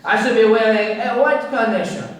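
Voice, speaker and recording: High-voiced, wary foreign crook played by a man. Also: lightly acted. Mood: wary, commanding. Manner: deliberate, formal, threatening. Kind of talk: radio crime drama acting. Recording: very echoey, distant-sounding room recording.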